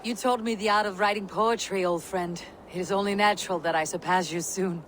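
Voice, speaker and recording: A woman's voice: medium pitch, steady tone, fairly quick, a little hiss or hum, close to an everyday voice. A woman playing a battle-hardened, brooding Viking warrior in a video game. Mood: dryly teasing. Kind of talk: dramatic video game acting.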